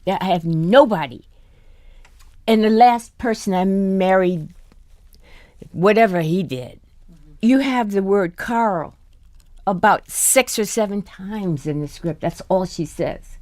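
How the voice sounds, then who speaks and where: A woman, an actor, speaking gravely and haltingly as she reflects in a panel discussion. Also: medium pitch, warm tone, no echo.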